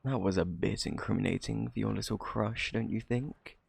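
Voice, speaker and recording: An actor playing a smug, flirty thief in a British accent, teasing and coy, in an ASMR roleplay. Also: male voice, fairly low pitch, steady tone, normal speed, creaky, darkish tone, slightly rough, no room sound, heavily acted. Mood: flirtatious, teasing. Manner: teasing, drawling, theatrically coy.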